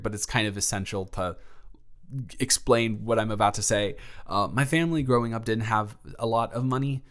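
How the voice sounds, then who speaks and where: A man's voice: medium pitch, fairly bright tone, clear, resonant tone, close to an everyday voice. A young man playing a shy, sweet college freshman in a romantic audio roleplay, stammering and rambling with earnest self-deprecation. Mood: nervous, excited. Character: shy, nervous, sweet young male college freshman from small-town Georgia. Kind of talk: romantic audio roleplay, one-sided conversational acting.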